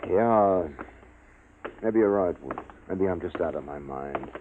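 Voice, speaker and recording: A man's voice: low voice, expressive, slow pace. A man playing an agitated, sardonic military officer in a 1950s radio drama. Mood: resigned. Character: agitated, sardonic military major insisting he is sane. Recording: narrow, noisy 1950s radio recording.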